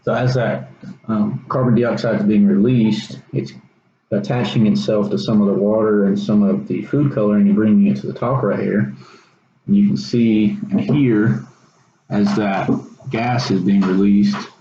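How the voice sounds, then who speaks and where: Low-voiced young Texan man, roomy sound. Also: fairly even intonation, normal speed, mellow. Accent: Texas. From Texas. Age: young adult. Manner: casual, hesitant explaining. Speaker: student presenter. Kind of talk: informal science demonstration. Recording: roomy echo, dull sound, faint noise.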